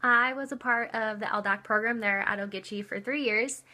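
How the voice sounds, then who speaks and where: Woman, 21, student; bright, clear tone, fluent delivery. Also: fairly high pitch, normal speed. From United States. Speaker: university student. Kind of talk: casual self-introduction.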